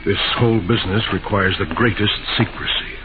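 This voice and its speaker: An actor playing an anxious, secretive banker in an old-time radio drama, urgent, confiding and insistent. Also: male voice, on the low side, fairly even intonation, fairly quick, phone-quality sound, over music, lightly acted. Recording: very noisy, thin old radio recording.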